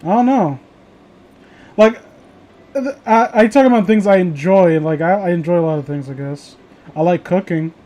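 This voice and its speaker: A man's voice: high-pitched, moderate pace, pauses often, dark tone. A man chatting casually with friends in a rambling, opinionated, sweary way. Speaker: host of a reading show.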